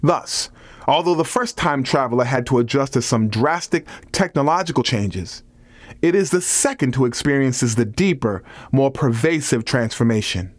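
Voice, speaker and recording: Male audiobook narrator, medium pitch, measured scripted reading, nonfiction, dry sound. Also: expressive, dry recording.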